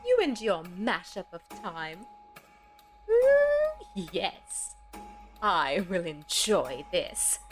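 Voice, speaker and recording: A woman playing a playful villainous entity in an audio drama, gloating in drawn-out phrases. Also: medium pitch, huge pitch swings, heavily acted. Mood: gloating, sinister. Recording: music under the voice.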